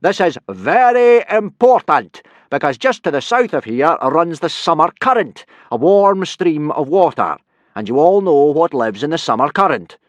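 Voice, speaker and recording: A man voicing a booming, blustering Viking warrior who teaches boys, in a storybook reading. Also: very animated, normal speed, dry recording.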